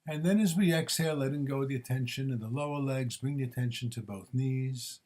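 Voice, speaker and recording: A man leading a guided body scan meditation as a teacher, speaking in measured, gently instructing short phrases with pauses between them. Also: mid-range voice.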